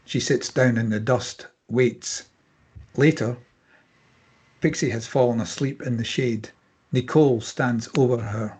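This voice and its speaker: A man narrating scene headings and stage directions at a screenplay table read in a husky voice. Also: medium pitch, steady tone, measured pace, a little mellow.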